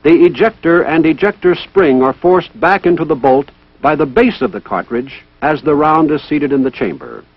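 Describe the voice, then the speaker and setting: A man's voice: fairly high pitch, expressive, moderate pace, husky, no room sound. Formal man narrating, dull vintage film sound. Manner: formal, methodical. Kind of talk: scripted instructional narration.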